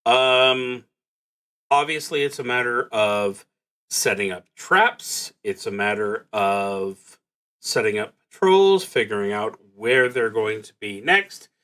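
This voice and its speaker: Slow-paced man musing over a solo game. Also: fairly low pitch, animated, bright, forceful tone. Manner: musing, halting, wry. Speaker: streamer, solo roleplaying game player. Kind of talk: thinking aloud while narrating a solo tabletop game.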